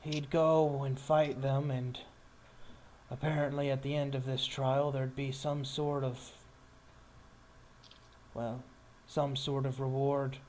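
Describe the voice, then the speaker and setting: Man playing a slow-talking, measured warrior leader. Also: medium pitch, flat delivery, darkish tone, slight background noise, natural voice. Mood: measured, guarded.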